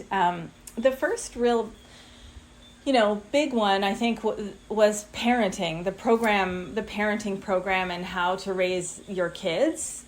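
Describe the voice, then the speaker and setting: A woman in her fifties teaching a live online masterclass, talking conversationally and turning lively when she acts out what people say. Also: medium pitch. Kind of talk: live online class talk.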